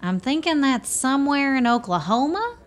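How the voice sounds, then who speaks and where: High voice, actress as good-humored country woman, plain-spoken, folksy, radio play. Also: sing-song, moderate pace. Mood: wry. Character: folksy, good-humored small-town woman living in a camp.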